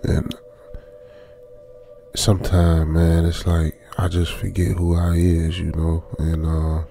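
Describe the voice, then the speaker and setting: A man playing a lonesome, uneasy young trucker, muttering colloquially with pauses in an ASMR radio drama. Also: low voice, monotone, vocal fry, dark tone, faint music behind, heavily acted. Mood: remorseful, tender. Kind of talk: ASMR old-school radio drama monologue.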